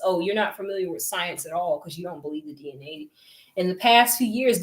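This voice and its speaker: A woman's voice: mid-range voice, rough recording. A woman reading a book aloud in a casual talk and picking apart its wording. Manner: reads passages aloud then picks them apart. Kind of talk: casual critical commentary.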